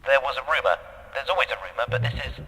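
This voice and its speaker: Royal guardian: nervous, deferential, halting, bright sharp tone, long reverb, fantasy drama. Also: male voice, medium pitch, animated, gravelly, breathy edge, full character voice. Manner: hesitant, obsequious. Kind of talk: full-cast fantasy audio drama acting.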